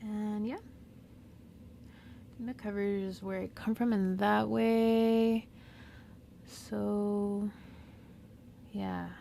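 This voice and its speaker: A woman with a Southern California accent whose first language is Spanish, talking unscripted and reflectively in a slow, pausing monologue as a podcast host. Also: mid-range voice, steady tone, vocal fry, warm tone, a little hiss or hum. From Mexican-American. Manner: slow, reflective, with pauses and fillers.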